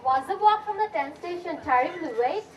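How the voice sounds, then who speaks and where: A kindly, hospitable wife and mother acted by a young woman in an amateur stage play. The voice is high and picked up from a distance. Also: lively intonation, a little fast. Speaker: student actor in a school play. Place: school stage, recorded from a distance. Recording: distant school stage recording.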